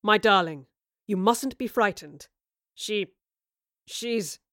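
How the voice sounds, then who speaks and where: A woman's voice: medium pitch, takes it slowly, a bit bright, dry recording, clean studio sound. A woman voicing a pompous, hot-tempered male villain in a story, with haughty, declamatory delivery. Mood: falsely soothing, flustered. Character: raging, pompous male villain, theatrical and menacing.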